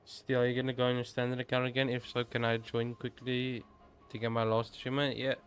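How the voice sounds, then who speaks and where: A man's voice: mid-range voice, monotone, quick pace. A young male game streamer talks casually in a mid-range voice. It is informal live commentary, with faint music behind it.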